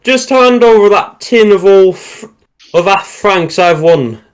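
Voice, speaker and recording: Male reader as a Cockney wartime trooper, raised pitch, cocky, sly, self-satisfied, storytelling delivery. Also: lively intonation, a little slow, clean tone. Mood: smug. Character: sly, cocky Cockney cavalry trooper from the First World War. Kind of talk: acted quotes within a story reading.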